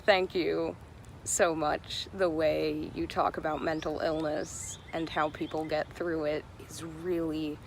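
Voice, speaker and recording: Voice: medium pitch, level tone, takes it slowly, creaky. A person speaking casually and a little hesitantly in an unscripted personal video message. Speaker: organizer and presenter of a fan tribute video. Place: outdoors. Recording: background noise, outdoor ambience.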